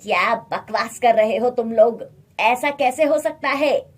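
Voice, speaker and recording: A greedy, bullying rich merchant acted out by a woman in a storybook tale. He is menacing and scornful, with a husky edge and a slow, domineering delivery. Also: fairly high pitch. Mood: angry, ordering. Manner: threatening, domineering.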